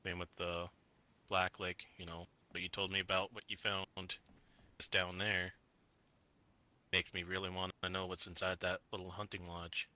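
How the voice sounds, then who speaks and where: Man, deep monotone voice, rambling roleplay talk, swears a lot. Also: normal speed. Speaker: roleplay player. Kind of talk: in-character roleplay chat.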